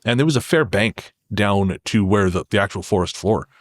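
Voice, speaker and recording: Low-voiced man telling stories casually. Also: animated, normal speed, dry recording, studio quality. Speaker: podcast guest. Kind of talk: casual conversational storytelling.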